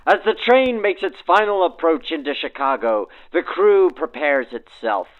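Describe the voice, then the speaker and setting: A thin, phone-narrow vintage radio sound frames this man's somewhat high voice. He hosts like a 1940s announcer, presenting and interviewing in formal, florid phrases. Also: expressive, normal speed. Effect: backdated 1940s radio filter. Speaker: host, interviewer. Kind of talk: scripted 1940s radio-style narration and interviews.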